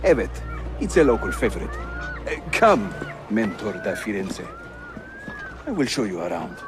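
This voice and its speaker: A man's voice: lowish voice, huge pitch swings, moderate pace, music in the background. An actor playing a jovial, roguish Ottoman assassin guide in a video game, with a Turkish accent and a theatrical, teasing manner. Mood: jovial, welcoming. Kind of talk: video game cutscene acting.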